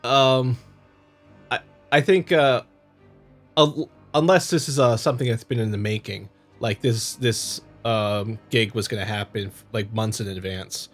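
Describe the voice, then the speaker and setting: Halting man narrating over light music. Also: medium pitch. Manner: halting, stumbling reader. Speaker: playthrough host reading a visual novel. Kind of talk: narration and casual commentary.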